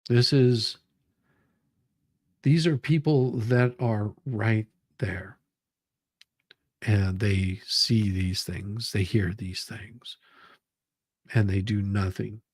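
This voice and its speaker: A man reading documents aloud and commenting on them in a halting, deliberate way. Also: fairly low pitch, breathy edge, dry recording, studio quality. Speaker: commentary show host.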